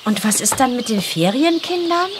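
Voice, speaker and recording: A woman's voice: a little high, big pitch swings, normal speed, background noise. An actress playing an anxious, good-natured teenage girl at a riding farm in an audio drama, hesitant and stammering a little. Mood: worried. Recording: loud stable noises and birds behind.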